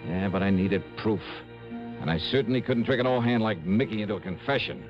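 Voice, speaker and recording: A man's voice: on the low side, fairly quick, lightly acted. An actor playing a wry, hard-boiled male investigator in an old radio detective drama. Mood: brooding, narrating. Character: wry, dogged male insurance investigator hero. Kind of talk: 1950s-60s radio detective acting and narration. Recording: dull vintage radio recording, music behind.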